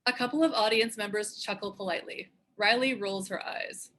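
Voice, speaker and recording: A woman's voice: medium pitch, brisk, fairly bright tone. A woman reading screenplay stage directions as scripted narration.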